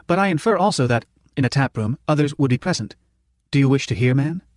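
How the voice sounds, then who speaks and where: A courteous thinking machine, calm and literal, speaking in tidy, formal sentences a little above a normal man's pitch, as a male audiobook reader does him. The sound is close and dry. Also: fast, dry recording, close to an everyday voice. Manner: formal, precise, even. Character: polite male computer, calm, literal-minded.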